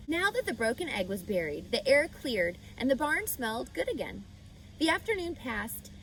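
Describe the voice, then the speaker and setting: A woman's voice: a little high, slight background noise, rough recording. A woman reading a children's book aloud expressively, now and then speaking to the listener. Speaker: storyteller.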